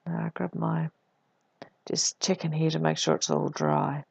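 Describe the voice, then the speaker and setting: A woman with a cold, her voice croaky, deep and husky, narrating her scrapbooking in a relaxed voice-over. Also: a little slow, no echo. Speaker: scrapbooking vlogger.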